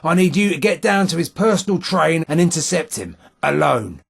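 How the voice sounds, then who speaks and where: A weary old London underworld informer for a video game. The voice is rough with fry and rasp, carrying a London accent. The delivery is measured and wry, full of street slang and old guilt. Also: male voice, a little high, big pitch swings, fairly bright tone, exaggerated voice. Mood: grave. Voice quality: husky, gravelly. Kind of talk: video game character dialogue.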